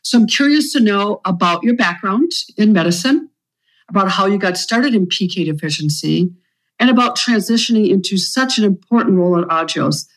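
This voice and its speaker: A woman hosting an interview podcast, asking her prepared questions in a measured, polite way. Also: mid-range voice, expressive, rough recording. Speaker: podcast host, community advocate.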